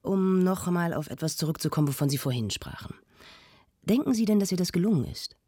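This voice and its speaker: An actress playing a prim, insistent radio host who conducts a formal, probing interview in a radio play. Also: female voice, fairly low pitch, animated, fast talker, dark tone, breathy edge, no echo, studio quality. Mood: measured, probing.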